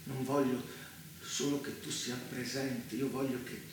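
A man's voice: mid-range voice, steady tone, unhurried, close to an everyday voice. Man playing an echoing, distant, fanatical mastermind. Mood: soft, condescending. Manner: theatrical, swinging from taunting softness to shouting. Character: fanatical, domineering male mastermind of a dystopian apocalypse. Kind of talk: intense Italian stage drama acting. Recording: roomy, distant stage recording, faint noise.

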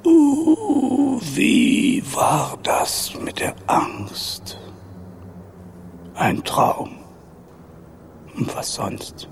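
An actor playing a huge, drowsy, grumpy old owl professor with a creaky, rasping, breathy voice in a children's radio play. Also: male voice, very high-pitched, huge pitch swings, a little hiss or hum, heavily acted. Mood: sleepy muttering. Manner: slow, drawn-out, muttering, hooting interjections.

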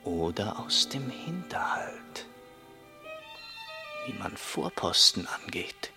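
A man's voice: lowish voice, very animated, slow, creaky, music in the background, heavily acted. An actor in a German radio play voicing a tormented young man tempted to murder, in an intense inner monologue that moves between whispers and agitated outbursts. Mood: scheming, brooding.

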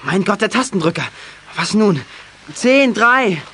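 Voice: mid-range voice, lively intonation, measured pace, sharp tone, slight background noise. An actor playing a take-charge young member of a CB radio club in a German children's radio play. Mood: focused, urgent.

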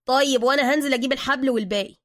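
A woman acts out an excitable Egyptian street guy, a loyal and touchy sidekick in an audio story. His voice rides high and quick, full of colloquial Cairene slang. He gushes and defends himself readily, in a close, dry recording. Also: dry recording. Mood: placating.

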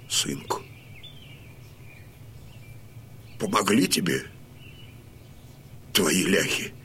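An actor playing a fierce, grieving old Cossack colonel and father in a theatrical audio drama, declaiming with heavy pauses. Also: a bass voice, gravelly, a little hiss or hum, full character voice. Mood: quiet, cold grief. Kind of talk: theatrical radio drama monologue. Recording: studio drama with loud music behind.

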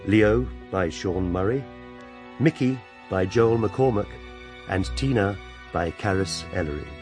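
A man's voice: fairly low pitch, unhurried, darkish tone. A man reading scripted radio drama credits as an announcer. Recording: music behind the voice.

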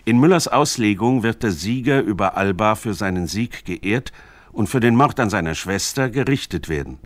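A man with a low voice narrates a literary radio essay. His delivery is measured and formal, and the sound is close and dry, with no room around it. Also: lively intonation, no echo.

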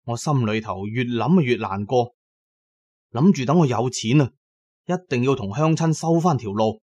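Narrate as a Cantonese man telling his own story on a radio drama, in a medium-pitched voice, shaping it as dramatised storytelling. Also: expressive, moderate pace, darkish tone, slightly rough, dull audio.

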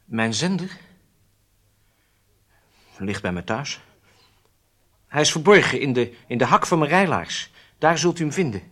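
Halting, cornered spy confessing. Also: male voice, mid-range voice, big pitch swings, bright, forceful tone, heavily acted. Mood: nervous, compliant. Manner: hesitant, halting, submissive. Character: weak-willed, cornered German horse breeder confessing as spy. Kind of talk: radio drama acting.

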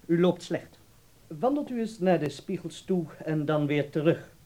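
An actor in a Dutch radio drama playing a blunt, self-assured ballet teacher giving clipped, emphatic instructions. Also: male voice, on the high side, animated, unhurried, dark tone, a little hiss or hum. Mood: blunt, instructing.

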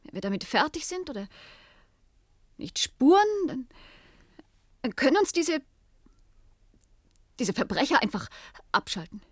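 Radio play scientist: slightly high voice, lively dramatic swings, skeptical and emphatic, close dry sound. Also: female voice, unhurried, pauses often, slightly rough, no echo, heavily acted. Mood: fearful, bitter. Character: female scientist, skeptical, anxious, sharp-tongued. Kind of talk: German science fiction radio play acting.

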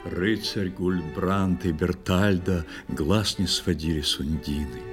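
A man narrating a children's audio play, telling a verse tale in a measured, declamatory and expressive manner. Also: sits low, music in the background.

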